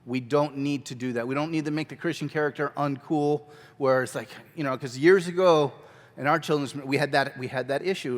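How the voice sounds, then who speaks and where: A man teaching a children's ministry workshop at a conference, talking energetically and conversationally on a handheld mic. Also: mid-range voice. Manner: energetic, conversational, quick asides. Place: large church hall. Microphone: handheld microphone. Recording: long hall reverb.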